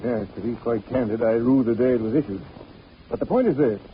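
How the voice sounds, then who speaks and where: An actor playing a proper, worried insurance executive, formal and earnest, in 1940s radio drama style. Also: male voice, medium pitch, brisk, close to an everyday voice. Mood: disapproving, candid. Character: proper, worried American insurance executive, businesslike older man. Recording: muffled, noisy 1940s radio broadcast.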